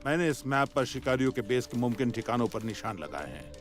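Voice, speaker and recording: A man voicing a middle-aged, jovial but stern police chief in a Hindi cartoon dub, expressive and authoritative. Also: medium pitch, brisk, natural voice. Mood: helpful, briefing. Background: music.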